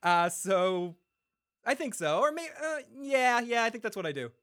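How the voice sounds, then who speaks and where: A man's voice: very high-pitched, sing-song, moderate pace, a bit bright, clear, resonant tone. A man co-hosting a casual fan podcast, loose and profane, laughing often and reading out listener messages. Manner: reads listener messages aloud. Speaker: podcast co-host.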